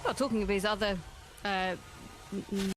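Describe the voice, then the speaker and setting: A woman's voice: mid-range voice, steady tone, quick pace, rough recording. A woman giving conversational live commentary on a motor racing broadcast as a co-commentator.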